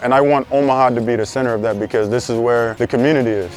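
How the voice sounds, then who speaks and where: Young man from South Sudan, program founder, earnest interview delivery, medium pitch, light music under. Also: steady tone, fast talker. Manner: earnest, repeats words for emphasis. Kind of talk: documentary interview.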